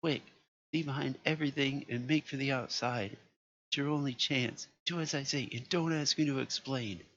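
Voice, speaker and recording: A man voicing a terrified man trapped in a vault, pleading and shouting in panic. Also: mid-range voice, moderate pace, pitched above the performer's own voice, natural voice. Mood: frantic. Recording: lo-fi with faint noise.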